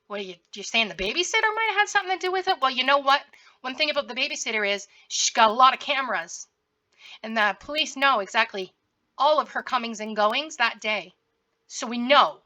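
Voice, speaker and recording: A woman's voice: a little high, pushed and bright. A woman with a sore, scratchy throat, speaking straight at someone in a confrontational, repetitive way as a commentary host. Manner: confrontational, addressing someone directly, repeats herself. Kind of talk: direct-to-camera commentary.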